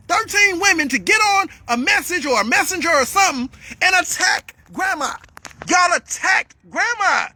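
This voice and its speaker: A man delivering a heated, repetitive monologue as a vlogger, calling out a rival and swinging up to shouts. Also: very high voice, husky.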